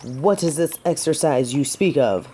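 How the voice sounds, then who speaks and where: A woman's voice: low voice, animated, a little slow, dark tone, a little hiss or hum. A woman playing a gallant, teasing yet earnest female knight in a romantic fantasy audio drama, with formal, old-fashioned phrasing. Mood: playful, teasing.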